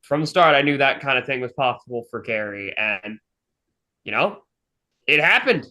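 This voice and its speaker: A man's voice: medium pitch, expressive, normal speed, bright, forceful tone. A man talking casually and earnestly, with emphatic praise and reflection, as a podcast host. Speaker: fandub director and podcast host. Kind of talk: casual chat.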